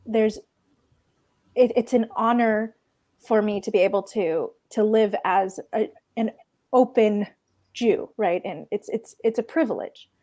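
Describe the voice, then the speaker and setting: Israeli-born woman panelist, Hebrew first language, halting and reflective. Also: mid-range voice, dark tone. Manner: hesitant, circling restarts. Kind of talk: casual panel discussion.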